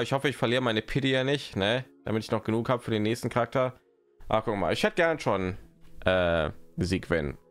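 Male streamer, very low creaky voice, casual rambling play-by-play, muttering to himself over faint music. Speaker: live streamer. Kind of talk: casual gaming stream commentary.

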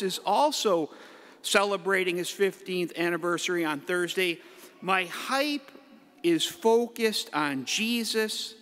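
A middle-aged man, a priest, giving a playful homily from the pulpit with the odd hype-man shout. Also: high voice. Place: large church. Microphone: pulpit mic. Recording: echoey church hall.

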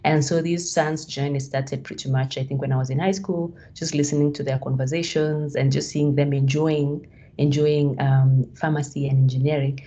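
A woman's voice: sits low, little pitch movement, moderate pace, mellow. A woman from Kenya in her thirties giving a hesitant talk on a video call, with many fillers and restarts. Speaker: guest speaker. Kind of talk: online presentation on a video call. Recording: dull lo-fi call audio.

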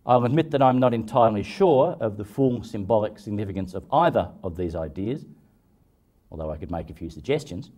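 A man in his fifties giving prepared commentary as a translator, measured and formal. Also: fairly low pitch, lively intonation, dark tone.

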